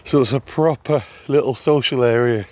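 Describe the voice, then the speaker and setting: An English man vlogging outdoors at a fishing lake, chatty and friendly, his voice still slightly hoarse as it recovers. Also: mid-range voice, moderate pace, darkish tone. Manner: chatty, friendly, laughs easily. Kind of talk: casual outdoor chat.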